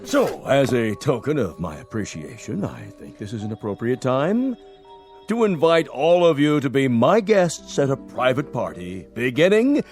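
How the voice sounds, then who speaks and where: An actor playing a pompous older office boss in a radio drama, formal and declamatory. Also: male voice, mid-range voice, very animated, moderate pace, a little mellow, faint music behind. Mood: magnanimous.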